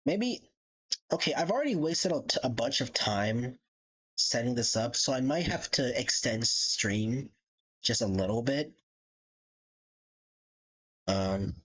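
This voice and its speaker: Husky, animated livestreamer with swooping pitch. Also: slow, long pauses, dull audio. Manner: giggly, swearing, rambling. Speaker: live streamer. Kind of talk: casual livestream chat.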